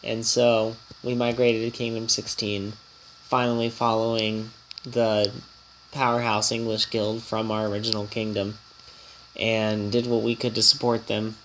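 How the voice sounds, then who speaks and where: A man's voice: fairly low pitch, completely flat delivery, a little slow, a bit bright, a little hiss or hum, rough recording. A man hosting a casual mobile game vlog, a bit nasal from an oncoming cold, rambling and chatty as he talks. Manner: rambling, chatty, easily startled. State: slightly nasal from a coming cold. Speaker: gaming channel host and guild leader.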